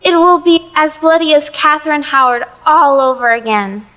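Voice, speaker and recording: A woman's voice: sits high, steady tone, normal speed, fairly bright tone. A woman playing a fretful, world-weary ancient female spirit with a fussing, endearing manner in an audio play. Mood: fretful, despairing. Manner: fussing, calls others dear.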